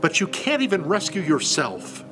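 A man voicing a mocking crowd shouting taunts in a storybook reading. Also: sits high, big pitch swings, moderate pace, music in the background. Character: jeering crowd of mocking men.

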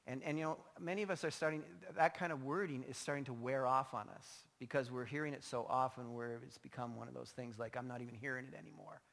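A man's voice: mid-range voice, steady tone, quick pace, vocal fry, hardly any pauses, fairly bright tone. A man preaching a sermon as a pastor, emphatic yet informal and conversational, his voice slightly strained. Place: large indoor church hall. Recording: echoey large hall, long reverb.

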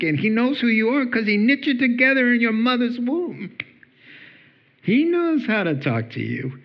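A man preaching a sermon in an animated, jokey, conversational way. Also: very high voice, highs cut off. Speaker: pastor.

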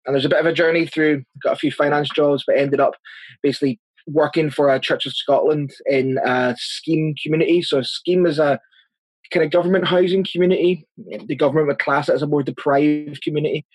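A man's voice: fairly high pitch, steady tone, fairly quick, no room sound, poor audio. A man in his thirties with a Northern Irish accent, answering interview questions as a church planter, earnest and chatty, with frequent restarts. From Northern Ireland.

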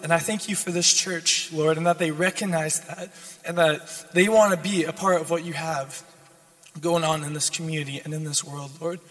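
A hoarse young man preaching a sermon as a guest speaker, repeating his key lines and building in intensity. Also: fairly high pitch, fairly even intonation, moderate pace, fairly bright tone. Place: church sanctuary. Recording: long church reverb.

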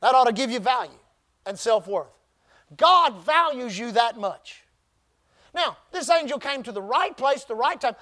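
Pastor, male, very high voice. Emphatic sermon delivery with call-and-response. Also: expressive, pushed and bright. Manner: call-and-response preaching, emphatic drawn-out phrases.

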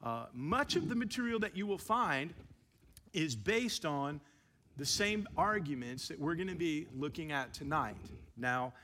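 A man who is a pastor, giving an evening church teaching with a relaxed, self-deprecating manner, many fillers. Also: on the high side, measured pace, slight background noise. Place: church sanctuary. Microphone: handheld microphone.

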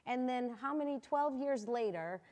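A woman leads a relaxed church talk with a guest. She speaks encouragingly and laughs easily between her points. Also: high-pitched, moderate pace, very noisy. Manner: warm, laughs often, encouraging. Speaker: church host. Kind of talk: casual church testimony chat.

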